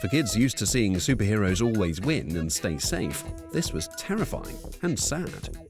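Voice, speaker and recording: A man's voice: on the low side, very animated, moderate pace. A man reading scripted narration for a countdown video. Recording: music under the voice.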